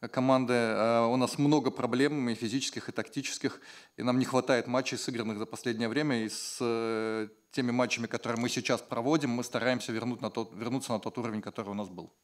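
A man's voice: mid-range voice, steady tone, fast talker. A man moderating a post-game press conference and interpreting the coaches, hesitating often with filler sounds. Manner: hesitant, many filler sounds while translating. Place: press room at a basketball arena.

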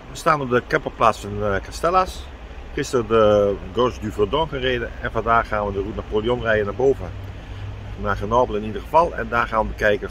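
A man's voice: on the low side, a bit bright, slight background noise, poor audio. A man in his sixties chatting casually to a handheld camera about his camper trip, easygoing, with plenty of fillers and restarts. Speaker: camper vlogger. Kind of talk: casual travel vlog to camera. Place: inside a camper van, then outdoors by a river. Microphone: camera at arm's length.

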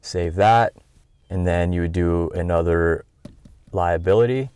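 An American man in his thirties with a low-pitched voice walks through a software tutorial. He explains step by step in a conversational, informal way. The sound is dry, with very little room in it. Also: unhurried, clear, resonant tone, no room sound. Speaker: tutorial instructor.

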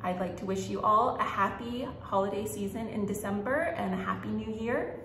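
A woman's voice: mid-range voice, normal speed, vocal fry, a bit bright. A woman hosting a children's storytime as a librarian, reading aloud expressively and talking simply to young children. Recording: roomy echo with faint background noise.

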